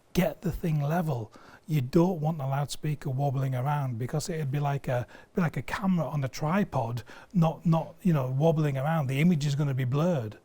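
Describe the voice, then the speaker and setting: A man's voice: fairly high pitch, darkish tone, slightly rough. A man giving an enthusiastic product explanation in conversation, emphatic and fond of everyday analogies. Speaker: loudspeaker company sales director, guest. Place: video studio.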